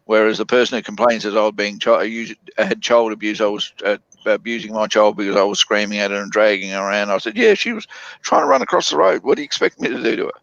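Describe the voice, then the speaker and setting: A man talks in a relaxed group conversation, often stopping to restart his sentences. His voice sounds a little dull and close, with no room around it. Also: fairly low pitch, vocal fry, no room sound. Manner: halting, with many restarts and fillers. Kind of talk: casual group chat.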